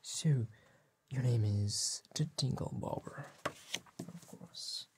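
A man, playing a polite, attentive tailor in a gentle, whispered and soft-spoken ASMR roleplay, with hesitant pauses. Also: mid-range voice. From France. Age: 20s. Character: polite, attentive bespoke tailor serving a client. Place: small dim tailor's workshop set, indoors.

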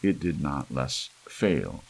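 Deep, gravelly man narrating a gothic tale. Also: big pitch swings, slow pace. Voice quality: gravelly, husky. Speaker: audiobook narrator. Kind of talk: scripted gothic story narration, ASMR. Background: soft rain.

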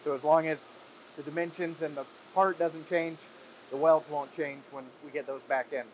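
A man hosts a workshop video in a fairly high voice. He sounds upbeat and conversational, casually presenting and asking questions. Some machinery noise sits faintly behind him. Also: fairly even intonation, normal speed, poor audio. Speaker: video host. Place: industrial workshop, indoors. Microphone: clip-on wireless mic on shirt. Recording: clear background noise in workshop.

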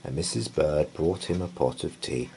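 A man reading a bedtime story aloud in an expressive, deliberate way. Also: rumbling low, vocal fry, rough recording. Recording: faint background noise.

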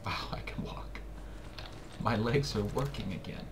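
A man with a raspy voice, casually commenting while he plays a game, joking and muttering asides. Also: lowish voice, unhurried. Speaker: gameplay commentator. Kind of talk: casual let's play commentary. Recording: noisy home recording with game sounds.

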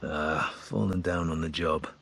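Deep, laconic special forces soldier. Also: male voice, a little slow, gravelly, a little hiss or hum, exaggerated voice. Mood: deadpan, dry. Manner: terse, deadpan. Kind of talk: video game military dialogue, short callouts.

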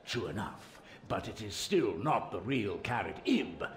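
A stern, crafty royal spymaster for a video game. He speaks in formal, deliberate phrases with a lively, swinging pitch. The voice sits in a roomy echo. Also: a little hiss or hum. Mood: grave, insistent. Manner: formal, deliberate, measured diction. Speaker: spymaster character.

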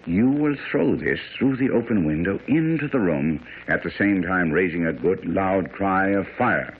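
An actor playing a self-assured, brilliant detective in a radio drama, speaking with precise, theatrical authority. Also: male voice, sits low, lively intonation, measured pace, slightly rough, heavily acted. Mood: instructing, commanding. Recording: tinny, faintly noisy old radio broadcast.

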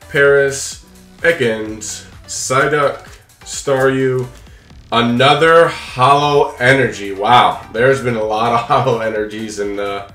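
Young adult man, casual host commentary, playful, unhurried pace, roomy echo, light music behind. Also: medium pitch, fairly bright tone. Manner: playful, talks to pet and viewers. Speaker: host of a card-opening channel. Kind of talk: casual chat, running commentary on opening trading cards. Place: indoors, small room at home.

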